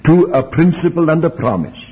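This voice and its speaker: Elderly preacher, muffled in a reverberant hall. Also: male voice, on the high side, animated, slightly rough. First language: Welsh. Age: older, elderly. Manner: deliberate, reflective, with rhetorical questions and repeated phrases. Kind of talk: Bible teaching sermon.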